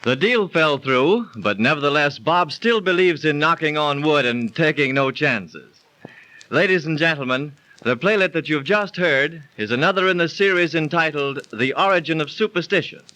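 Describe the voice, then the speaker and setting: A man's voice: a little high, animated, normal speed, bright, forceful tone, no room sound. A man announcing a scripted radio series in formal, courtly 1930s style. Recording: dry 1930s radio studio recording.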